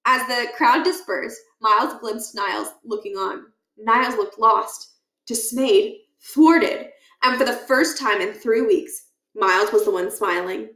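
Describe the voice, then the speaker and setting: A woman reading a children's book aloud as an expressive storyteller, with animated, deliberate emphasis. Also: fairly high pitch. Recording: echoey, roomy sound.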